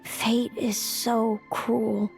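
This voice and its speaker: A woman voicing a solemn, melancholy young seeress in a video game, speaking in a measured, wistful, formal way. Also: fairly high pitch, little pitch movement, creaky, darkish tone, soft background music, exaggerated voice. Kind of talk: video game character acting.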